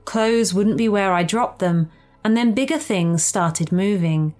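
A woman's voice: medium pitch, measured pace, darkish tone, soft background music. A woman reading a first-person ghost story as scripted narration. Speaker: story reader.

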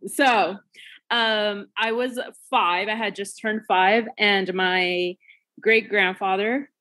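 Slow-talking woman from Puerto Rico. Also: medium pitch, fairly even intonation, pushed and bright. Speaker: podcast guest. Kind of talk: casual interview over video call. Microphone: video call.

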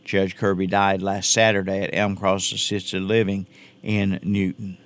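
A man's voice: deep voice, little pitch movement, unhurried. A man delivering scripted local news as a radio reporter.

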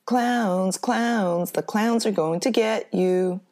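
A woman's voice: mid-range voice, slow, a little mellow, pitched above the performer's own voice, natural voice. A woman voicing a mocking, sing-song bully in a horror story reading. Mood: sing-song taunting.

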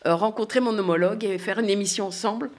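A woman's voice: mid-range voice, fast talker. Middle-aged female voice actress, guest; casual, self-deprecating, laughs a lot. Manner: lively, self-mocking, laughs often. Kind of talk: casual chat, anecdotes.

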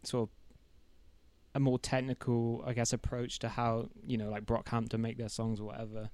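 A man's voice: mid-range voice, completely flat delivery, moderate pace, a little mellow, clean tone, dry recording. A reserved, easygoing man hosting a casual interview with a fellow music YouTuber.

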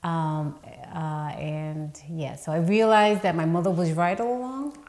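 A woman's voice: low-pitched, a little slow, a little mellow. A woman in her fifties from the Dominican Republic who grew up in New York, a real estate attorney telling her story in a podcast interview with many ums. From Dominican Republic, raised in New York. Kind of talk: casual interview talk.

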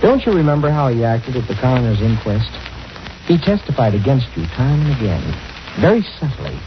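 An actor playing a level-headed but suspicious friend who takes charge, firm and persuasive, in old-time radio drama. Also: male voice, medium pitch, big pitch swings, brisk, background noise, lightly acted. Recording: muffled old radio recording.

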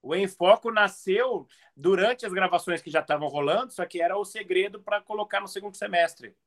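A middle-aged man talking casually as an interview guest, telling stories in an animated, conversational way. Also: sits high, moderate pace. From outside Brazil. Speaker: guest, comedian and TV reporter.

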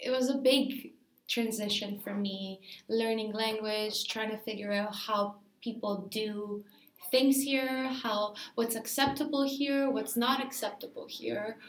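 A woman's voice: fairly high pitch, steady tone, a little slow, reverberant. A woman from Greece, a dancer being interviewed, talking casually and thinking aloud with many fillers.

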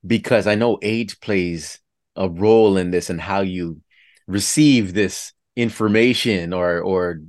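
A man's voice: low voice, no room sound, studio quality. A man in his forties hosting an interview, laughing often and asking long rambling questions. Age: 40s. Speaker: host.

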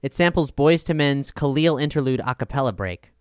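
Male podcast narrator for scripted music reviews: crisp, resonant tone, dry studio sound, polished measured read. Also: medium pitch, dry recording. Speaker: album review reader and podcast host.

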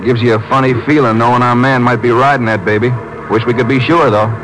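An actor playing an eager, watchful junior Texas Ranger, plain-spoken and inquisitive, in a 1950s radio drama. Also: male voice, medium pitch, fairly even intonation, fast talker, lightly acted. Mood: curious, uneasy. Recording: noisy old 1950s radio recording.

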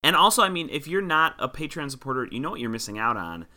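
Man, podcast co-host, very bright voice, lively swooping pitch, playful casual chat. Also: quick pace. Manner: playful, breaks into goofy old-timey voices.

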